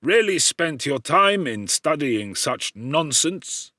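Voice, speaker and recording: A man voicing a gruff, squat, scornful science professor from a classic novel, scolding emphatically and pompously. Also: on the high side, sing-song, takes it slowly, pushed and bright, dry recording, clean studio sound, pitched above the performer's own voice, exaggerated voice. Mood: incredulous scorn.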